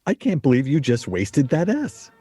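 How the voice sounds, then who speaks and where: A man's voice: fairly high pitch, huge pitch swings, moderate pace, warm tone, breathy edge, no echo, heavily acted. A man acting in a radio play as a plain-spoken, grumbling friend at a game table, blunt and skeptical. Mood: irritated.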